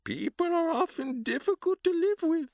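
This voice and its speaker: A man's voice: extremely high pitch, lively intonation, normal speed, vocal fry, a little mellow, dry recording, studio quality, exaggerated voice. A man playing a genteel old presenter of a mystery theater, speaking cryptically and contemplatively. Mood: wry, cryptic. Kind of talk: theatrical audio drama banter.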